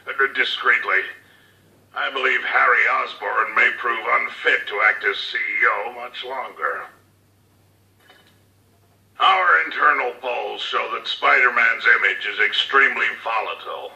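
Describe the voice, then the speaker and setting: An actor playing a cold, calculating crime boss tycoon dictating orders in a measured, menacing, condescending way for a video game audio log. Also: male voice, medium pitch, vocal fry, pushed and bright, full character voice. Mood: calculating, disdainful. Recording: roomy, slightly distant audio log.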